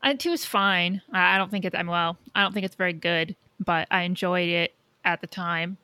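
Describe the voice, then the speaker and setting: A woman's voice: on the low side, steady tone, normal speed, bright, forceful tone, clean tone, no echo. Blunt woman chatting, dry close sound. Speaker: podcast co-host. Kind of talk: casual film discussion.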